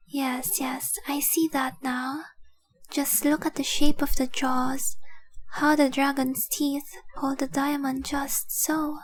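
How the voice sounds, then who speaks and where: A young dragon-blooded fiancée, acted by a woman, devoted and remorseful, in an intimate romantic audio drama. Her voice is high and breathy, often close to a whisper. She confesses slowly and earnestly, keeping her melody narrow and gentle. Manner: slow, earnest, tender confessing.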